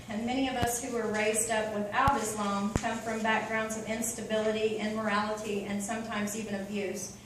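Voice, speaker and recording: A woman's voice: fairly high pitch, heavy reverb, distant mic, slight background noise, poor audio. A woman from Oklahoma with an Oklahoma accent, talking in a chatty, open way with many ums and you-knows. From Oklahoma, USA. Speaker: convert giving a talk. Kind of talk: casual personal talk.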